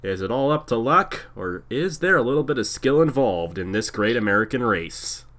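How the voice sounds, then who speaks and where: A man with a congested voice from a cold, calling a simulated stock car race as play-by-play host in an energetic, sing-song announcer style. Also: mid-range voice, moderate pace. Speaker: play-by-play commentator. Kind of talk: sim racing broadcast commentary.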